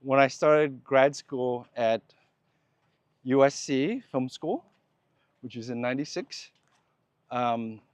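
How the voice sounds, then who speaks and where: A man in his fifties hosting a walking conversation outdoors, thoughtful and quick to laugh. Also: medium pitch, clear, resonant tone, dry recording. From United States. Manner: thoughtful, laughs easily, restarts phrases. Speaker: host. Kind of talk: casual conversation while walking. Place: outdoors on a walk.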